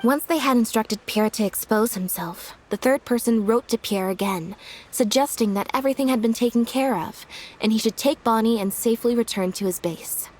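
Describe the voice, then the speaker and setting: A woman playing a composed, sharply logical detective in a video game, explaining in a measured way. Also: on the high side, a little mellow, slight background noise, close to an everyday voice, rough recording. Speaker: detective character. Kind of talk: video game story voice acting. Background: faint music.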